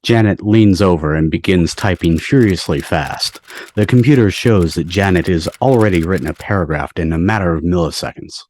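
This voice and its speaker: A man's voice: sits low, normal speed, a little mellow. A man on a video call reading stage directions as narrator of an amateur table read.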